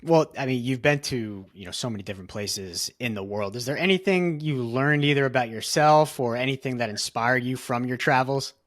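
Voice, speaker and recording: A man's voice: medium pitch, a bit bright. A man asking interview questions in a casual, easygoing way, sometimes restarting his sentences. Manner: easygoing, slightly halting questions.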